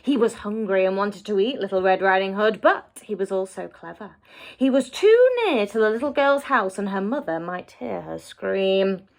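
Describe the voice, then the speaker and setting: A woman's voice: mid-range voice, animated, normal speed, creaky. A woman teacher narrating a children's story expressively, as if to young listeners.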